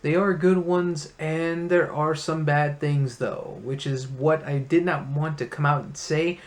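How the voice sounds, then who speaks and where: A man's voice: on the high side, steady tone, a little slow. A man hosting a casual movie review, talking as an enthusiastic fan in a room full of memorabilia.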